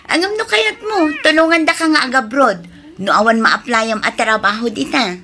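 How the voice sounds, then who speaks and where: A woman's voice: high-pitched, moderate pace, sharp tone, exaggerated voice. A woman playing a responsible, caring elder sister in an Ilocano radio drama, speaking earnestly with an Ilocano Filipino accent. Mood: caring, upbeat. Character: responsible, caring elder sister working abroad for her family.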